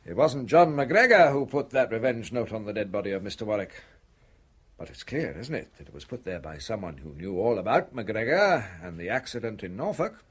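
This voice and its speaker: A man playing a measured, probing police inspector in a radio drama. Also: lowish voice, sing-song, breathy edge.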